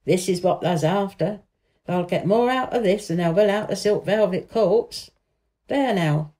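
A woman voicing a friendly, gentle country boy in a storybook, with a Yorkshire accent and homely dialect. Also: medium pitch, measured pace, lightly acted.

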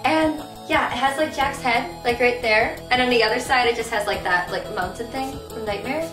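A woman's voice: a little high, moderate pace, music in the background. Young woman rambling bubbly in her bedroom, kinda sick voice, far from the cam mic, roomy echo. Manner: bubbly, rambling, lots of "like" and "really". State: sick, voice a bit deep. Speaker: vlogger. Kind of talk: casual haul video. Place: small bedroom with bare walls. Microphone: camera mic from across the room.

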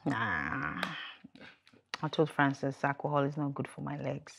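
An actress playing a proud, independent, outspoken widow in a naturalistic screen drama. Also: female voice, rumbling low, expressive, measured pace, gravelly, fairly bright tone, slight background noise, heavily acted. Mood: relaxed, teasing.

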